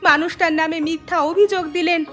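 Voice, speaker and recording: A woman's voice: very high voice, fairly even intonation, fast talker, fairly bright tone. A woman voicing a devoted, outspoken young village wife in a cartoon. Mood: angry, defiant. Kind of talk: Bengali cartoon dialogue. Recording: music behind the voice.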